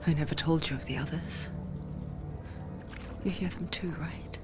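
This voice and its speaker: Actress as breathy low female narrator inside someones head, whispering close n slow, ominous game voice, loud music behind. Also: steady tone, lightly acted. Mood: confiding, ominous. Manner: slow, confiding, close to the listener, foreboding. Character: hushed, knowing female narrator voice inside a warrior's mind.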